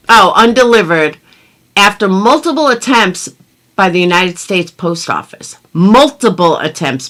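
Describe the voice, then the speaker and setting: A woman hosting a commentary video, reading a document aloud with sarcastic, theatrical asides and drawn-out emphasis. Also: medium pitch, a bit bright.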